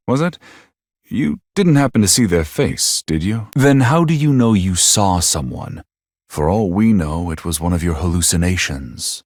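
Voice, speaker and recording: An actor playing a polite but scheming, secretly crazed video game villain, with formal, articulate, theatrical phrasing. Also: male voice, low voice, breathy edge, dry recording, clean studio sound, heavily acted. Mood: puzzled, questioning.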